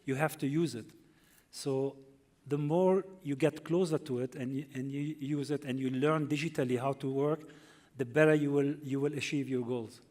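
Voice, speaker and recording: A hotel development executive on a panel, earnest and halting, often restarting his sentences. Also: medium pitch, steady tone, a little mellow. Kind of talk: panel answer. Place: conference hall.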